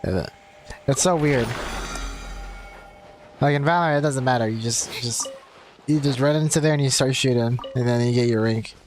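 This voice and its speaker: A man's voice: mid-range voice, moderate pace, pauses often. A young man livestreaming a game, chatting casually in a rambling, conversational way. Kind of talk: casual chat while gaming. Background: game music.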